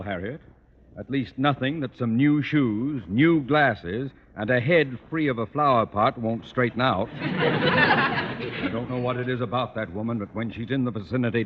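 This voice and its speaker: A man's voice: mid-range voice, close to an everyday voice. An actor playing a pompous, grumbling middle-aged principal and father in a 1940s radio sitcom, speaking in stuffy, formal phrases. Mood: gloomy dread. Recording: noisy muffled vintage radio recording.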